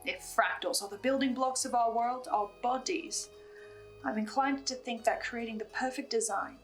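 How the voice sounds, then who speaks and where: High-voiced woman giving dramatic theory commentary. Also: moderate pace, soft background music. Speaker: host recapping a horror audio drama. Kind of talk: theorizing commentary, scripted with asides.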